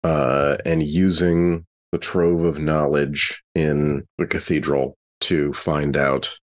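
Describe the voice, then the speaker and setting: A man's voice: very deep voice, little pitch movement, slow pace. A man playing a tabletop role-playing game, casually explaining what his character is after and why.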